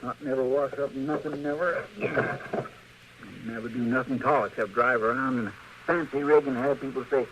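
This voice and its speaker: An actor playing a lazy, dreamy frontier deputy with a rural American drawl in a radio western, folksy and hemming. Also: male voice, medium pitch, steady tone, normal speed, close to an everyday voice. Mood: folksy, self-important. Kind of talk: 1950s radio western acting. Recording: dull, noisy old radio recording.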